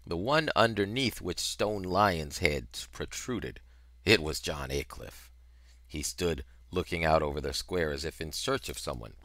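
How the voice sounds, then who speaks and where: A middle-aged man narrating a novel in an expressive, dramatic style, with emphatic, deliberate phrasing on a headset mic. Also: low voice, no echo. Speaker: reader of a children's novel.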